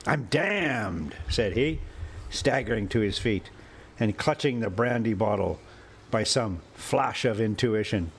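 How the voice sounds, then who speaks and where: A man hosting a story time, reading classic prose aloud in a measured, deliberate way. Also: fairly low pitch, vocal fry, a little hiss or hum. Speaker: host and story reader. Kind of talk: audiobook-style story narration with casual asides.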